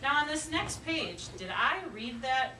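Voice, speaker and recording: Lady teacher talking to little kids, encouraging, asks questions, pauses a lot, far from the mic w/ room noise. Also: high-pitched, animated. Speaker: elementary school teacher. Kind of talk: classroom lesson talk to young children. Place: indoor classroom. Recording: roomy, noisy classroom, distant mic.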